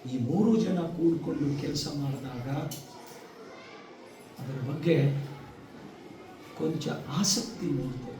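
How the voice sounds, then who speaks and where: A man giving a motivational speech to students, emphatic, with rhetorical repetition and deliberate pauses. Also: fairly high pitch, warm tone. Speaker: guest speaker addressing schoolchildren. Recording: echoey, distant microphone, faint noise.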